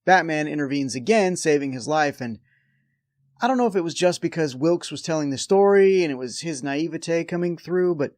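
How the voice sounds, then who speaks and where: A man's voice: on the high side, animated, moderate pace, darkish tone, no room sound. A man hosting a review show, delivering scripted comedic recap narration with a wry, sarcastic edge.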